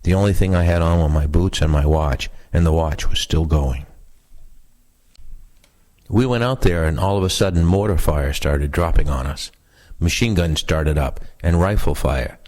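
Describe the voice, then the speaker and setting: A man with a deep, low voice reads first-person recollections aloud. The delivery is that of scripted oral history narration. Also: normal speed, a little mellow. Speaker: reader of veterans' recollections.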